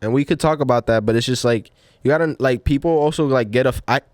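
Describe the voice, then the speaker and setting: A man's voice: mid-range voice, fast, a little mellow, dry recording, studio quality. A young man on a podcast, chatting casually, rambling with lots of "like" and "you know". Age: young adult. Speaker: podcast host. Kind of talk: casual chat.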